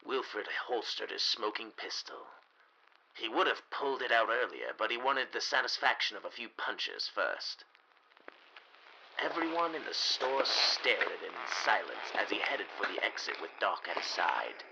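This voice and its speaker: A man giving scripted narration for a 1930s-style radio mystery, telling the story dramatically with deliberate pauses. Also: lowish voice, sing-song, creaky, background noise.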